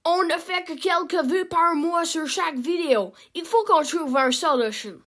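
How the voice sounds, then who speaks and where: A woman's voice: very high-pitched, moderate pace, pitched above the performer's own voice. A young woman with a Québécois accent voicing an impatient cartoon gang member who insists they must become famous. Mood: agitated. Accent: Québécois French. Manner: shouting, insistent.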